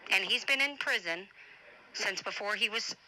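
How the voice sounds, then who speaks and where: A woman's voice: mid-range voice, normal speed, pushed and bright, a little hiss or hum, rough recording. A woman speaking informally in a personal voice message, emphatic and defensive, repeating her points to drive them home. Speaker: family advocate and show host.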